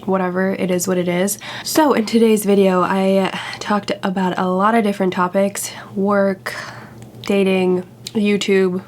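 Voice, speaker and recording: A woman's voice: mid-range voice, little pitch movement, a little slow, slight background noise. Congested young woman chatting casually. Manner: chatty, candid, self-deprecating. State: congested from a cold. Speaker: YouTuber. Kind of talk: casual vlog chat.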